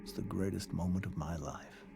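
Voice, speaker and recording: An actor playing a troubled middle-aged American father in a video game, confessing a painful past to his daughter in measured, halting phrases. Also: low-pitched, fairly even intonation, creaky, over loud music. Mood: tender regret. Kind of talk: dramatic video game monologue.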